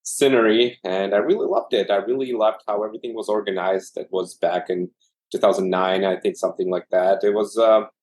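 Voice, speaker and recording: A man from Russia, an anesthesiologist in his thirties, telling his career story in a relaxed way with lots of fillers. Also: fairly low pitch, brisk, poor audio. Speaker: anesthesiologist guest. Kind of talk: casual interview conversation.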